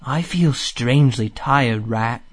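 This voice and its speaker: A man voicing a gentle, earnest mole from a storybook, drowsy and plaintive in manner. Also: medium pitch, takes it slowly. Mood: weary, puzzled.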